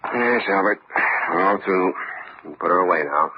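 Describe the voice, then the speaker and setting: An actor playing a steady, businesslike police lieutenant in a vintage radio mystery, laconic and matter-of-fact. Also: male voice, sits low, normal speed, natural voice. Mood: measured, businesslike. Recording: dull, noisy old radio recording.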